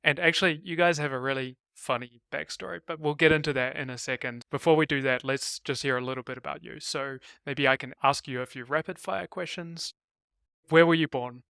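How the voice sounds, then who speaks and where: A male host with a bright, clear voice and a touch of gravel runs through quick questions. He stays upbeat and brisk, and the sound is close and dry. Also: fairly high pitch, steady tone, no echo. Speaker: interview host. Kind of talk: quick rapid-fire interview questions.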